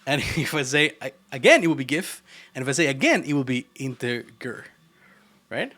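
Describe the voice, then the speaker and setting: A man's voice: mid-range voice, big pitch swings, moderate pace, pushed and bright. A man from Argentina with an Argentine Spanish accent, chatting casually and teasingly with his live chat while he codes. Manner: playful and teasing, laughs often. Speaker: programming livestreamer.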